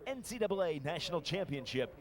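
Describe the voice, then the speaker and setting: A man's voice: fairly high pitch, big pitch swings, quick pace. A man making a formal announcement over a stadium public address system. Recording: distant stadium PA amid crowd. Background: stadium crowd.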